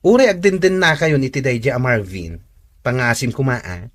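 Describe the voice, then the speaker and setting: A man's voice: mid-range voice, big pitch swings, normal speed. A man playing a blunt, caring, gossipy friend who gives advice in a radio drama. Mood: blunt, concerned.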